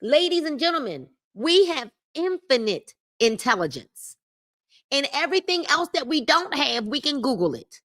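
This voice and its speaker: A woman hosts a live-streamed book club, moving between reading the text aloud and her own emphatic asides. Her voice is bright and sits a bit high, with animated swings in pitch. She repeats her main points and calls out to viewers in the chat. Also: moderate pace. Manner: emphatic, repeats key phrases and speaks to the chat. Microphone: livestream mic.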